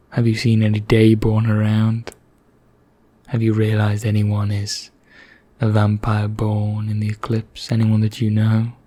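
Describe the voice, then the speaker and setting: A man playing an ancient, haughty vampire knight in an ASMR roleplay, speaking softly and half in whispers, formal and measured with deliberate pauses. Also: on the low side, completely flat delivery. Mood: quietly knowing. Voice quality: soft, breathy, half-whispered, husky. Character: ancient male vampire knight, haughty, languid, darkly courteous.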